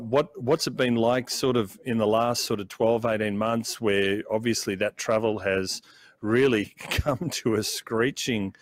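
A man hosting a conversational church interview, feeling his way through questions in a halting manner. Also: lowish voice. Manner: halting, searching for words.

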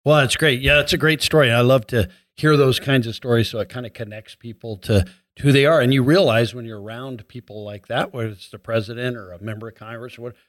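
A man's voice: mid-range voice, quick pace, hardly any pauses, no echo, studio quality. A man hosting an interview, affirming and conversational as he asks questions. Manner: affirming, steady backchannels. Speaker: host.

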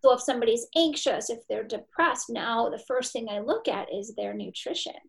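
A woman's voice: a little high, lively intonation, moderate pace, gravelly. A woman in her forties, a therapist and nutritionist, talking energetically and emphatically as a podcast guest. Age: 40s. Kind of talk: podcast interview.

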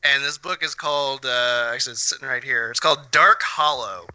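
Male podcast host, crisp and clear, casual, opinionated and sweary, very dry sound. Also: mid-range voice, brisk, sharp tone, dry recording. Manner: opinionated, blunt, profane. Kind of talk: casual chat with friends.

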